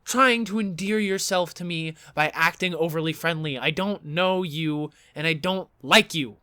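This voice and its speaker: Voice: medium pitch, normal speed, sharp tone, smooth, no room sound, clean studio sound, lightly acted. An actor playing a sarcastic, fed-up young student in an audio drama, blunt and sweary. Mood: exasperated.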